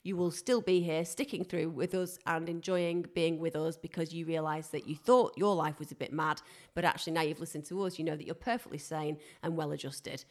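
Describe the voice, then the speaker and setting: A woman with a British accent co-hosting a podcast in a casual, wry, self-mocking comic style, talking in an animated way. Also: low voice, a little fast, hardly any pauses. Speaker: podcast co-host.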